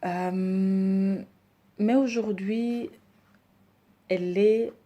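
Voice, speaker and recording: A woman in her thirties, from Rwanda and raised in Belgium, introducing herself and her decor business, hesitant with many pauses. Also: medium pitch, steady tone, mellow. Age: 30s. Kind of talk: personal introduction to camera.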